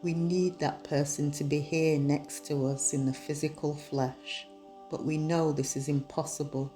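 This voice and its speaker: A woman guiding a meditation in a soothing, measured, unhurried manner. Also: deep voice, fairly even intonation. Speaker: meditation guide. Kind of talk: guided meditation narration. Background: soft soothing music.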